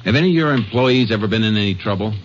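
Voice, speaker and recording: An actor playing a calm Texas Ranger in a 1950s radio crime drama, with a clipped, matter-of-fact American delivery. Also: male voice, lowish voice, racing, close to an everyday voice. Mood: businesslike, probing. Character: calm, methodical male Texas Ranger investigator and narrator. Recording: muffled, noisy old radio recording.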